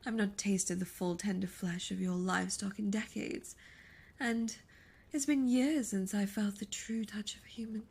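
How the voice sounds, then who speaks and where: A woman voicing a seductive, imperious demon succubus in an ASMR roleplay, breathy and often whispering, with formal, teasing, menacing diction. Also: medium pitch, fairly even intonation, moderate pace, darkish tone. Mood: commanding, teasing.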